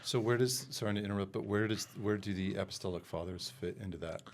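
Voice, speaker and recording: Man, very deep voice, short questions, faint noise behind. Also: fast talker. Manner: brief interjecting questions and back-channel replies. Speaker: podcast host. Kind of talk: casual interview.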